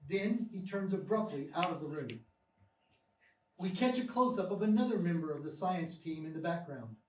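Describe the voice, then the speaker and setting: High-voiced male script narrator, echoey theatre. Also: lively intonation, normal speed. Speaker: narrator of a screenplay table read. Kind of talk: scripted narration, live stage reading. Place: small live theatre stage. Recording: distant, echoey live theatre recording with noise.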